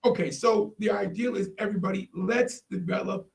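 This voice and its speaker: A man's voice: sits high, a little fast, vocal fry. A man talking casually in a panel discussion, stressing his points by repeating phrases. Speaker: podcast panel host. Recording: roomy, distant from the mic.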